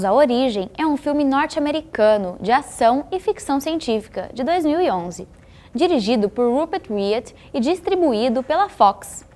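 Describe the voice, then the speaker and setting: A woman with a Brazilian accent reads scripted documentary-style narration in a measured, informative way. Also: fairly high pitch, a little mellow.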